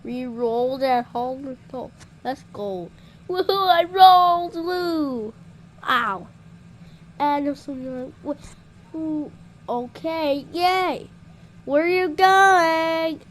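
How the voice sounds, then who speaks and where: A woman improvising playful puppet show dialogue in an excitable, exclamatory way. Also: high-pitched, slow pace.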